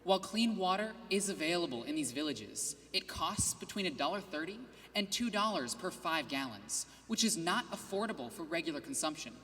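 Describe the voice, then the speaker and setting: Guy doing a scripted team pitch, steady, bright tone, hall reverb. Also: moderate pace, hardly any pauses. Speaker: student presenter. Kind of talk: rehearsed competition presentation.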